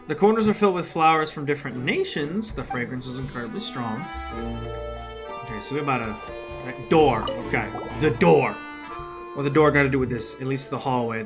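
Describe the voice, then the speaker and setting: A gamer playing and reacting in a casual, slangy, animated commentary, swearing and reading dialogue aloud. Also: mid-range voice. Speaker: gaming streamer playing and reacting. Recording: game music behind the voice.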